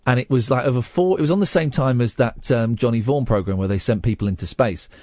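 A man's voice: medium pitch, quick pace, mellow, no room sound. A man from Slough with a southern English accent, hosting a live phone-in with dry, teasing banter. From Slough, England. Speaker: radio phone-in host. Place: radio studio.

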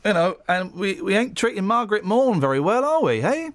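Man, radio host, blunt casual chat, lively pitch, dry close mic. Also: fairly quick, no echo. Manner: blunt and chatty, with frequent restarts. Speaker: radio presenter.

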